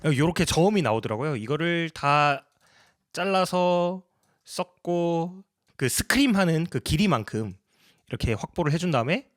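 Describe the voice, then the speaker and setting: A man's voice: fairly high pitch, animated, normal speed, background noise. A man narrating a tutorial as a sound designer, explaining his process in a polite way.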